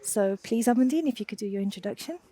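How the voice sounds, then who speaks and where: Panel moderator, upbeat woman, mellow tone, dry close sound. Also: on the high side, animated, quick pace, no room sound. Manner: upbeat, brief prompts. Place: conference stage.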